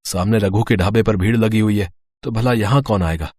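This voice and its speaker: A man's voice: fairly low pitch, fairly even intonation, moderate pace, darkish tone, no room sound, close to an everyday voice. Poor, kind-hearted eatery owner for a cartoon moral tale, male actor; earnest, plaintive, dry recording. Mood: resigned. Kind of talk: dramatised moral story acting.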